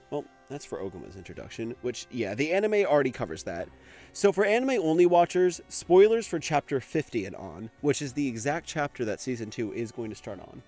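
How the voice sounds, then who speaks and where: A man narrates an anime video essay in a loose, conversational register. His mid-pitched voice moves up and down in a lively way, and soft music plays behind him. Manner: informal, conversational, occasional swearing. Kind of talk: casual scripted commentary on an anime.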